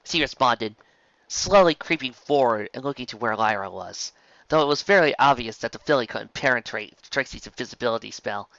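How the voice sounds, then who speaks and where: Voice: medium pitch, animated, fairly quick, a bit bright, no echo, muffled recording. A narrator reading scripted fan fiction as an audiobook, in a storytelling style.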